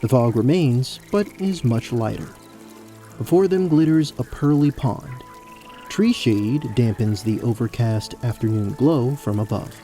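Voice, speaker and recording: A man serves as narrator, reading scripted fantasy prose. His voice is relaxed and mellow, sitting at a medium pitch. Soft music plays faintly behind the storytelling. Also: measured pace.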